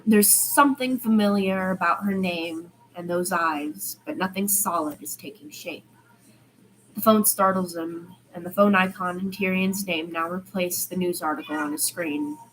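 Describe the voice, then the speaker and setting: A woman's voice: lowish voice, moderate pace, a bit bright, a little hiss or hum, rough recording. A woman narrating a story as an amateur audiobook reader, informal, with the occasional small stumble. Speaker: podfic narrator. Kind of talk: amateur fiction reading with character dialogue.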